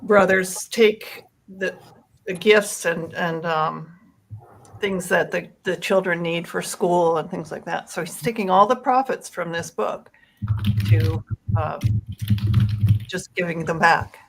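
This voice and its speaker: A woman speaking earnestly and deliberately in an unscripted discussion. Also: on the low side, creaky, slight background noise, poor audio.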